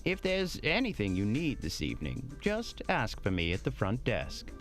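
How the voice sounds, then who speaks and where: Animated, courteous male hotel host. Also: medium pitch, normal speed, faint music behind. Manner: formal, polite. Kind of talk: audio drama acting.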